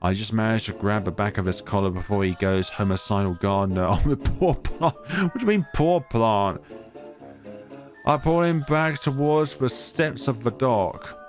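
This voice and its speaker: A man's voice: lowish voice, moderate pace, hardly any pauses. A man hosting a gaming video, reading a visual novel aloud and adding his own asides. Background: music.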